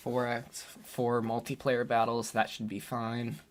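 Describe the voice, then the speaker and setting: A man chats casually to his viewers during a game live stream, his voice a little hoarse. He talks at an unhurried pace in a middle-pitched voice, filling pauses with ums as he thinks out loud. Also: slight background noise. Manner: relaxed, thinks aloud with frequent fillers. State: slightly hoarse.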